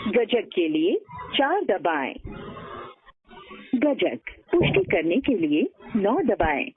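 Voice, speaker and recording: Casting: woman for automated phone menu, formal scripted prompts, animated intonation, tinny telephone recording. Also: mid-range voice. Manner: formal, instructional. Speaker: automated phone-line announcer. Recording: tinny band-limited phone line recording.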